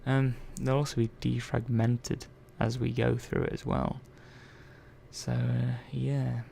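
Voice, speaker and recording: A man playing an earnest, hesitant robot repair technician in a soft-spoken ASMR roleplay, halting and trailing off mid-sentence. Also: mid-range voice, little pitch movement, gravelly, slight background noise. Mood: cautious, reassuring.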